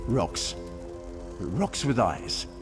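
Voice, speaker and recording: A man voicing a dazed, confused video game character, halting and bewildered as he struggles to remember. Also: fairly low pitch, very animated, music in the background, heavily acted.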